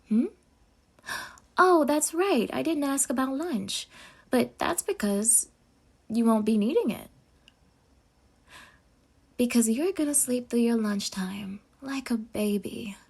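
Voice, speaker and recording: A woman's voice: on the high side, darkish tone. A woman playing a possessive, sweetly menacing yandere girlfriend in an intimate ASMR roleplay, cooing tenderly and slipping into threatening near-whispers. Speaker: audio roleplay performer.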